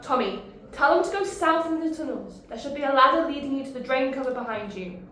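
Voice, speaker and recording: A woman's voice: sits high, expressive, fast, pushed and bright, very echoey. A woman playing a defiant young woman sniper, snappy. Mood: urgent.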